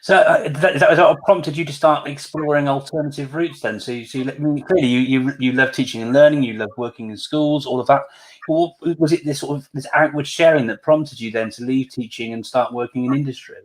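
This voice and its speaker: A man's voice: medium pitch, brisk, poor audio. A man hosting an online panel, speaking hesitantly with many fillers and restarts. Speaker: host.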